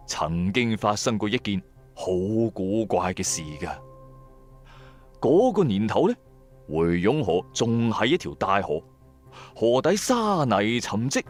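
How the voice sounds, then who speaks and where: Male narrator for Cantonese audio drama: colloquial, lively storyteller with big pitch swings, addressing listeners directly, music underneath. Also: unhurried. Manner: colloquial, animated storyteller with rhetorical asides. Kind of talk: dramatised first-person storytelling.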